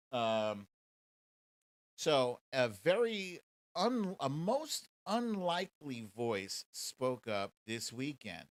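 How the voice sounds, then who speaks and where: Male livestream host, political commentary, very animated intonation, slow pace, mocking, sweary. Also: medium pitch, no echo. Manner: sarcastic, mocking, profane. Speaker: show host.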